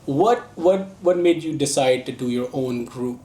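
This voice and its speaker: A mid-pitched man hosting an interview show, upbeat and encouraging toward his guest. He keeps the talk moving with quick replies and follow-up questions. The recording has a noticeably echoey room sound. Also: warm tone, a little hiss or hum. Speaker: interview host.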